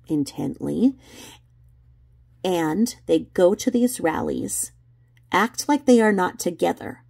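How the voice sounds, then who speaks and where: Low creaky-voiced woman livestreamer. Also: mellow. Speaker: livestream host commenting on video clips. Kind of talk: casual livestream commentary.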